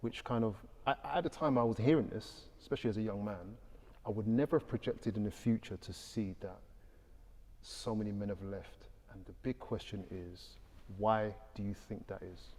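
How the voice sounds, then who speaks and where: A man's voice: fairly low pitch, moderate pace, a little mellow. A British man hosting a casual panel discussion, upbeat and conversational, asking questions. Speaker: podcast host. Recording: hall reverb.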